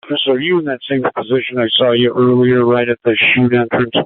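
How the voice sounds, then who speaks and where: A man's voice: medium pitch, fairly even intonation, fairly quick, no room sound. A man speaking in terse operational style as a police command operator over a narrowband two-way radio.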